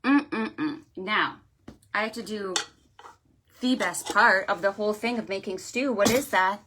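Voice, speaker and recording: A woman leading a children's storytime, speaking in an animated sing-song way with exclamations and questions for young listeners. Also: mid-range voice, unhurried, background noise. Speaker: children's librarian hosting storytime. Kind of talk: children's storytime with read-aloud and pretend play.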